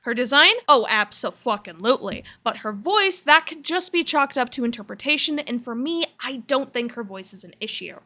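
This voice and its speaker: A woman's voice: a little high, lively intonation, normal speed, hardly any pauses, bright, forceful tone. A woman hosting a commentary video, reading a blunt, opinionated voice-over. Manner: blunt, opinionated, sometimes crude. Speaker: commentary host.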